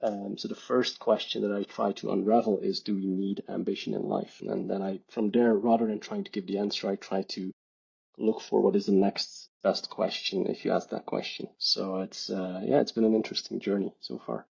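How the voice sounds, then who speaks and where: A man from Belgium speaks as a podcast guest with a low, gravelly voice that turns husky at times. He talks thoughtfully and hedges, restarting his sentences often. The audio is dull and lo-fi. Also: level tone, normal speed, darkish tone. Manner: thoughtful, hedging, many restarts and fillers. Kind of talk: casual reflective conversation.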